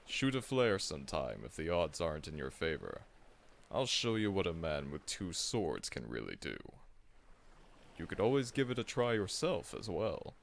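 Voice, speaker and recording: A man's voice: extremely low pitch, creaky, fairly bright tone, heavily acted. A man voicing a seasoned, confident monster hunter who acts as a friendly mentor, reassuring the listener, calling them kid and chuckling often in an ASMR audio roleplay.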